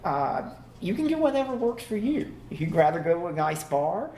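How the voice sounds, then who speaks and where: A man giving a relaxed, conversational conference talk to a live audience, laughing easily as he goes. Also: high voice, moderate pace. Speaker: conference presenter. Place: conference room. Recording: echoey room, faint background hum.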